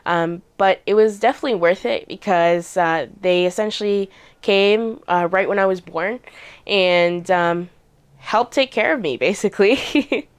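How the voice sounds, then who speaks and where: A man's voice: sits high, a little slow, smooth. A young man from Canada, a guest on a talk show, chatting casually about his family with many fillers. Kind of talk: casual conversation.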